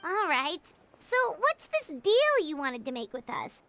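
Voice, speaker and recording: Tiny excitable female game companion, very high and bouncy, game score behind. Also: slight background noise, heavily acted. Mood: chatty. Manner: bubbly, quick to react and tease. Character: small chatty female travel companion, excitable. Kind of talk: video game dialogue. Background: game music.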